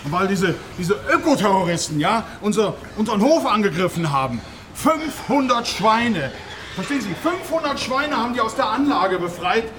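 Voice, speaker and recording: An actor playing an aggrieved, defensive pig farm owner in a radio drama, halting and repeating himself to justify his case. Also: high-pitched, big pitch swings. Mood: indignant, agitated. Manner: halting, repetitive, self-justifying. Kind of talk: naturalistic radio drama in reportage form. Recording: loud ambient noise of an animal barn.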